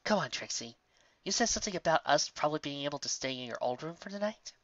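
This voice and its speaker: A man's voice: fairly high pitch, animated, fast talker, a bit bright, no echo, muffled recording. A man voicing a playful, affectionate young female unicorn musician in an exclamatory, teasing way for a storybook. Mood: relieved.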